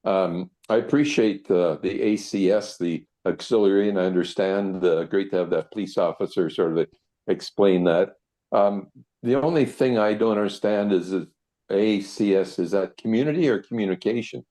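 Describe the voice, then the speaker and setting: A man with a very low, dark, mellow voice asks a polite, measured question during an online meeting. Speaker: meeting participant, ham radio operator.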